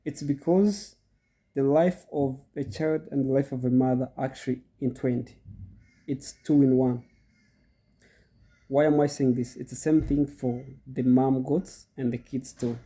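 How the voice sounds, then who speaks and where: A man's voice: mid-range voice, fairly even intonation, unhurried, dark tone, husky. A middle-aged goat farmer with a cold, hosting his channel and explaining step by step in a chatty way to his viewers, often repeating himself. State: congested from a cold. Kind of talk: casual instructional talk to viewers.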